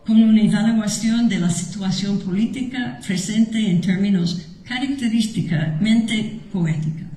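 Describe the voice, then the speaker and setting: A woman at a conference slowly reading a prepared tribute aloud, with a Mexican Spanish accent and the odd slip. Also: fairly high pitch, mellow. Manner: slow, measured reading with some slips. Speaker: conference speaker. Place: lecture hall. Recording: echoey hall.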